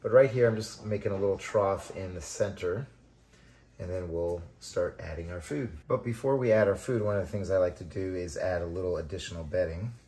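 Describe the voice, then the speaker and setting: Low, mellow-voiced man explaining calmly. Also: brisk. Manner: relaxed, conversational, talks while working. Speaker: vermicomposting channel host. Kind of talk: hands-on tutorial narration.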